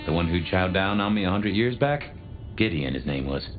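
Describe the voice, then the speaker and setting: A low, lively voice for a wry old vampire from a video game. He is chatty, folksy and theatrical, his pitch swinging widely. The sound is muffled, with music underneath. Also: normal speed. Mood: musing. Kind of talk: video game dialogue acting.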